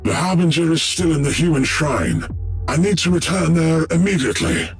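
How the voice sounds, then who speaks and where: A man's voice: a little high, animated, normal speed, faint music behind. A man voicing a grim, proud alien elf-like warrior leader in a game cutscene, solemn and commanding. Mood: grim, resolute. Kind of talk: scripted game cutscene dialogue.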